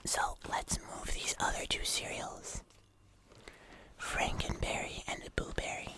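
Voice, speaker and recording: Unvoiced whisper, breathy, soft, unhurried, close-mic ASMR, casual food-tasting chat. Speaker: ASMR video creator. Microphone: close microphone.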